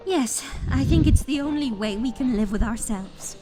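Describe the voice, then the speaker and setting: A woman's voice: on the high side, expressive, moderate pace, over music, close to an everyday voice. A woman voicing a refined, loyal female pony in a dramatised fan fiction reading, earnest, dramatic and formally spoken. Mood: grave resolve.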